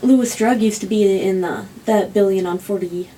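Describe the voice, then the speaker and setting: A woman co-hosting a casual podcast, asking hesitant, halting questions. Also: medium pitch, mellow, rough recording. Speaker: podcast co-host.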